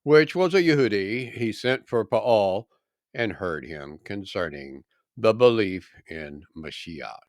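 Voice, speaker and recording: A man reading scripture aloud as a solemn narration, with deliberate phrasing and dramatic emphasis. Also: lowish voice, lively intonation, dry recording, studio quality. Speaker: scripture reader.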